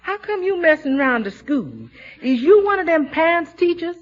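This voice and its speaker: An actress playing a sassy, folksy, wisecracking household cook in a 1940s radio comedy. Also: female voice, very high-pitched, expressive, moderate pace. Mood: wry. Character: sassy, quick-witted female household cook. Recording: dull 1940s radio recording.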